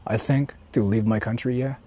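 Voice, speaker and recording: Hushed, husky-voiced man. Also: mid-range voice, fairly even intonation, normal speed. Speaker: vlogger streaming while exploring. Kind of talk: casual livestream chat, answering viewers. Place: outdoors walking through an empty housing estate.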